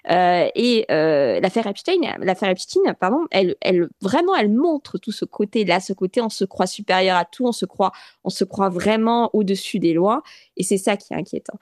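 Earnest, halting woman on dry mic. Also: mid-range voice, very animated, a little mellow, no echo. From France. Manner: earnest, halting, many restarts and fillers. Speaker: interview guest. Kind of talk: radio interview. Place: radio studio.

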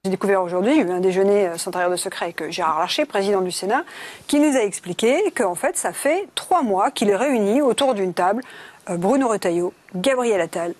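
Emphatic woman, mid-pitched, explaining. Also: quick pace, darkish tone. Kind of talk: live political discussion.

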